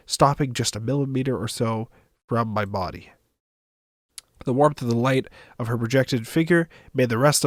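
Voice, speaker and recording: A man's voice: medium pitch, no echo, clean studio sound. A man streaming, reading a visual novel aloud expressively with casual asides.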